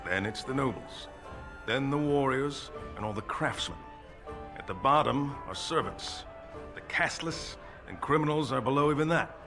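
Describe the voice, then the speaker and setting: A man's voice: medium pitch, expressive, moderate pace, over music, natural voice. A man playing a wry, self-deprecating dwarf nobleman in a video game, with a casual, sardonic delivery. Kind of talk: video game character acting.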